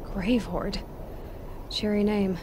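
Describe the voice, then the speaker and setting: A woman's voice: mid-range voice, fairly even intonation, slow pace, noisy background, natural voice. An actress playing a determined, dryly witty young woman adventurer in a video game, often talking to herself in a wry way. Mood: wry, resolute. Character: determined young adult female adventurer with dry wit. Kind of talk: video game character dialogue. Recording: game music behind the voice.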